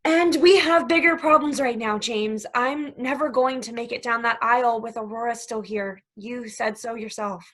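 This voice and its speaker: Actress as a high-voiced, coquettish 1950s mistress. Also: female voice, normal speed, few pauses, a bit bright. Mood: impatient. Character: playful, demanding young 1950s mistress, coquettish, impatient. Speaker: actor at a table reading. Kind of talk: stage play table read, romantic dialogue.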